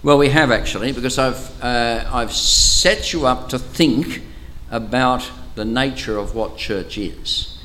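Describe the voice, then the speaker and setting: A man's voice: medium pitch, slow pace, pushed and bright, slight background noise, low-quality recording. An older man with an Australian accent and a powerful voice, leading an interactive Bible study at a church camp in a chatty, teacherly way with frequent hesitations. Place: church camp meeting room. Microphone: microphone, sometimes moves away from it.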